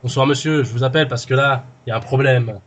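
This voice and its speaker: A man's voice: medium pitch, quick pace, background noise, close to an everyday voice. A man playing a flustered footballer's manager in an improvised prank call, cheeky and mock indignant. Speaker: prank caller.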